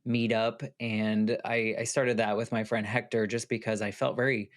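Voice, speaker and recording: A man hosting a podcast, encouraging his guest and gently prompting her to share her story. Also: lowish voice, level tone, normal speed. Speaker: podcast host. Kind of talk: conversational interview.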